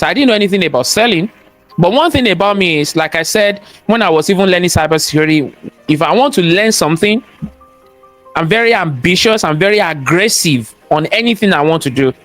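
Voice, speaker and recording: A man's voice: sits high, fairly bright tone. A man with a thick Nigerian accent, a cybersecurity expert talking as a guest in an interview, emphatic and preachy, repeating his points and asking rhetorical questions. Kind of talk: interview conversation, motivational talk.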